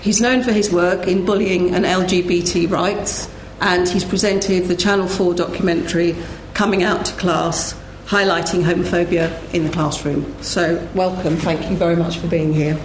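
Low-voiced woman chairing a panel, echoey room. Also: fairly even intonation, normal speed, few pauses. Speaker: panel chair introducing speakers. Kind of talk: live event introduction, partly scripted. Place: indoor event room with audience. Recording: echoey room, slight background noise.

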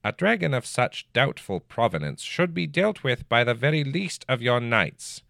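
A man voicing a stern, haughty old royal counselor who speaks in a sharp, clipped, commanding voice. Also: medium pitch, sing-song, moderate pace, vocal fry, dry recording, clean studio sound, voice pushed up, full character voice. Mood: smug.

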